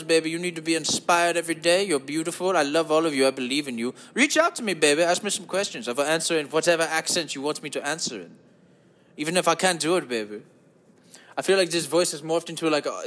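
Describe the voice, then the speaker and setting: Man putting on a high, campy German guy. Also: a bit bright, natural voice. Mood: encouraging, flirty. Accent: exaggerated German.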